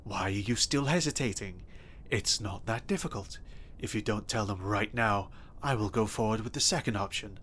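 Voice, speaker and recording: A man playing an obsessive, possessive stalker in an audio roleplay, speaking softly and intimately with a threatening edge. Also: fairly low pitch, normal speed, few pauses, a little hiss or hum, lightly acted. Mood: cold menace. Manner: soft, intimate and menacing, bursting into threats. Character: obsessive, possessive male stalker kidnapper, adult.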